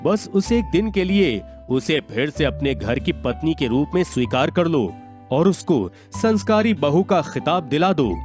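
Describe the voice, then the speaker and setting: A man voicing a calm, fatherly village holy man in an animated moral story, advising in a measured way. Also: on the high side, faint music behind.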